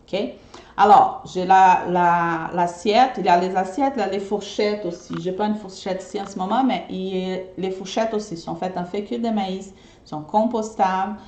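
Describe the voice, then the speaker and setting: A woman's voice: medium pitch, fairly even intonation, moderate pace, darkish tone, roomy sound. A woman from Brazil whose first language is Portuguese, speaking French with a mixed Brazilian and Québécois accent. She chats casually to her viewers in a live video, often checking in with them by asking "ok?". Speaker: eco-friendly party decorator.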